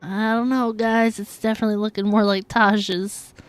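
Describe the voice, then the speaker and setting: Woman, game streamer, average pitch, playful, casual live commentary, dry echo-free sound. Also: little pitch movement, moderate pace, smooth, soft background music. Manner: playful, chatty.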